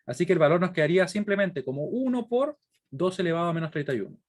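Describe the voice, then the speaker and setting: A male university lecturer with a Chilean accent and a slightly high-pitched voice. He explains in a didactic way, thinking through worked examples aloud. He keeps stopping to put short check-in questions to his students. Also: lively intonation, fast talker.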